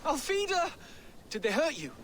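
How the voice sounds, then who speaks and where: An actor playing an excitable, soft-hearted nineteen-year-old peasant lad in a medieval video game, with an earnest manner. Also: male voice, very high voice, normal speed, slight background noise, full character voice. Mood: worried. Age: young adult. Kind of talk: dramatic video game acting.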